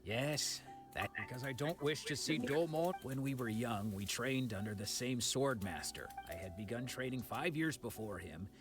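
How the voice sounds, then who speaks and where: A man's voice: medium pitch, animated, normal speed, music in the background, close to an everyday voice. An actor playing a proud, embittered swordsman in a game, speaking in a formal, guarded, brooding way. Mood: guarded, resentful. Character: proud, embittered swordsman recalling an old rival. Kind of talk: dramatic game dialogue.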